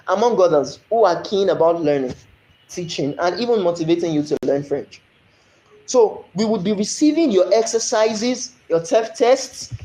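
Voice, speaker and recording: A Nigerian man with a slightly raised pitch teaches an online French lesson in an echoey room. He explains patiently, repeats French phrases slowly, and keeps checking that listeners follow. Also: darkish tone. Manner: explanatory, asks "you understand?" often, spells out French slowly.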